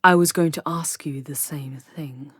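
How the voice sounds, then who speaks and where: A woman's voice: low voice, fairly even intonation, measured pace, husky, no room sound, studio quality. A woman voicing a proud, sardonic, protective female demon in an ASMR audio drama, speaking with haughty command and dropping into whispers. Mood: menacing, haughty.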